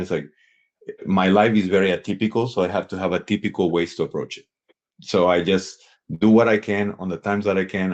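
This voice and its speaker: A man's voice: low voice, muffled recording. A middle-aged man from Peru with a Peruvian accent, a guest giving earnest, reflective advice in a casual interview. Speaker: sales executive guest.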